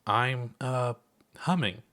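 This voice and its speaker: A man's voice: medium pitch, slow, sharp tone. A man voicing an anxious, self-conscious young woman in a story, hesitant and given to muttering.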